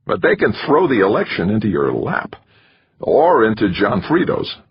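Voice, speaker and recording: A wiry, edgy campaign manager, put on by a male narrator, with a thin, scratchy voice pitched well above the narrator's own. He pushes and insists, his tone leaping around as he argues. The audiobook recording sounds dull and lo-fi. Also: sing-song, moderate pace, husky, full character voice. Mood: insistent. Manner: pushy, urgent persuading.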